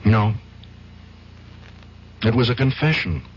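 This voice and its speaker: An intense, swooping-voiced troubled lawyer. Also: male voice, medium pitch, animated. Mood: uneasy. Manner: halting, intense, agitated. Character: troubled, indignant younger defense lawyer. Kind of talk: old-time radio drama acting. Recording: dull, faintly noisy old radio recording.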